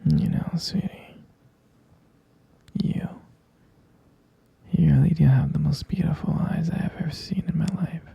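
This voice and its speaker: A man playing a doting, gently teasing vampire boyfriend in a whispered ASMR roleplay, with a breathy, husky delivery, hesitant and tender. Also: a little high, full character voice. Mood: adoring. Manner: slow, hesitant, tender pet names.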